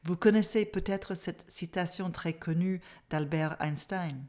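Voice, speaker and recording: A middle-aged woman from Canada, a native English speaker with an accent, calmly teaching a mindfulness masterclass in a measured, soft-spoken way. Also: on the low side. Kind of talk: online masterclass presentation.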